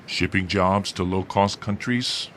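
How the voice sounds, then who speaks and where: A man plays a down-and-out economist in a satirical audio play. His deep voice recites theory with detached pedantry, while clear street noise runs behind. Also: fairly even intonation, a little slow, fairly bright tone.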